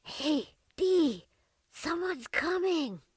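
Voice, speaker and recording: Casting: woman as scrappy kid sidekick, high-pitched, bossy bargainer, old-time detective radio play. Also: very animated, extremely slow, full character voice. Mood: urgent, hushed. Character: scrappy streetwise young girl, bossy little helper. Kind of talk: radio detective drama acting.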